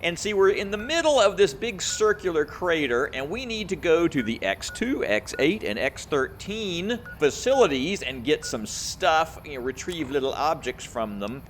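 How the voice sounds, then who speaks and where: A man's voice: on the high side, very animated, normal speed, a little hiss or hum. A man giving casual gameplay commentary as a channel host, rambling and thinking aloud.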